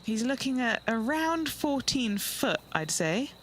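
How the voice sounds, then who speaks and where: A woman's voice: on the high side, big pitch swings, slow, a little hiss or hum, poor audio. A woman voicing an observant on-course golf reporter in a video game, reading putts and shots. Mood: thoughtful. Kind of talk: video game sports commentary.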